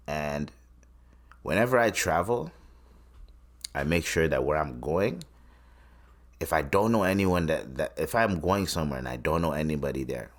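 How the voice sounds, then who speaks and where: A man from Tanzania hosting a solo podcast, rambling and swearing between his points. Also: very deep voice. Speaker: podcast host. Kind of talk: casual solo podcast commentary.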